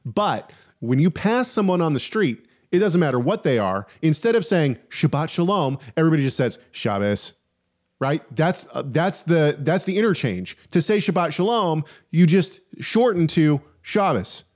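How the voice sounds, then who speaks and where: A man's voice: fairly high pitch, big pitch swings, moderate pace, a little mellow. A man hosting a podcast in a casual, chatty way, reading out listener questions and jumping into the talk. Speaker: podcast host. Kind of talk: casual chat.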